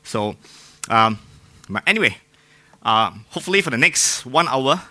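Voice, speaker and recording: A man's voice: medium pitch, lively intonation, normal speed, bright, forceful tone. A man with a Singaporean accent giving an informal, joking technical talk to a live audience, often putting questions to them. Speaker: conference speaker.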